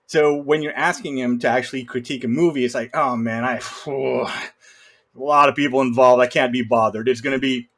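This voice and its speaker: A man's voice: medium pitch, steady tone, a little fast, fairly bright tone. A man talking casually about films as a podcast panelist, opinionated and fond of acting out imagined quotes to make his points. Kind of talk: casual film discussion.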